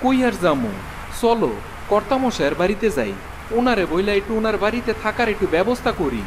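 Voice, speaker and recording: A man's voice: high voice, lively intonation, brisk, noisy background. A man playing a poor, weary village husband in a cartoon, speaking plaintive, humble rural Bangladeshi Bengali. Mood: urgent. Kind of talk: cartoon dialogue acting.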